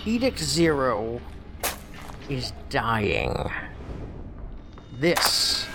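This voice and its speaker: Villain read,: slow, gravelly, cold and measured, voice rising and falling. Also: male voice, mid-range voice. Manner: cold, measured, philosophical menace. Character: calm menacing man who appears from nowhere. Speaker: voice actor. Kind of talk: audio drama acting.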